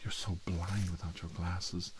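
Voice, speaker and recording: A man playing an unhinged, brooding middle-aged scientist villain in a whispered ASMR roleplay, muttering haltingly and repeating himself. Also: sits low, flat delivery, background noise. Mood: scheming, soothing.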